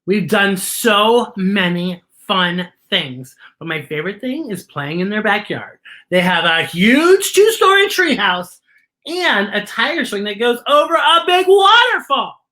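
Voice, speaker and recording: A story time host voicing an excited, proud little schoolgirl who gushes and exclaims. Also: mid-range voice, a little slow, voice pushed up. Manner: gushing, exclaiming.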